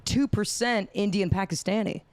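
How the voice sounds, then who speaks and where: A hungover American woman hosts a casual podcast, blunt and jokey, with a smooth, clear voice. The sound is close and dry, with no room around it. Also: medium pitch, moderate pace, no echo. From United States. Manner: jokey, blunt, swears freely. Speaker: podcast host. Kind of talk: casual chat.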